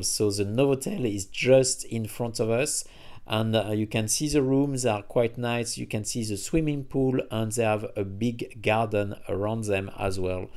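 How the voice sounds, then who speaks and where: A man's voice: lowish voice, darkish tone. Man narrating a road trip. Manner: halting, frequent uh fillers, non-native phrasing. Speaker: travel vlogger reviewing EV chargers. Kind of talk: casual travel vlog narration.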